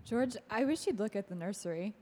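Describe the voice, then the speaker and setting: A woman's voice: medium pitch, expressive, a little fast, dark tone, lightly acted. Woman acting an anxious radio-play wife, reverberant hall. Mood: uneasy. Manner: fretful, pleading. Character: anxious wife and mother, uneasy and easily frightened.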